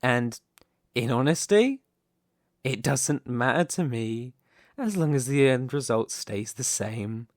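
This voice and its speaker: Man playing young mafia boss, mid-pitched male voice, slow deliberate delivery, smugly possessive, theatrical menace, ASMR roleplay. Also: lively intonation, no room sound, clean studio sound. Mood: smug, coaxing.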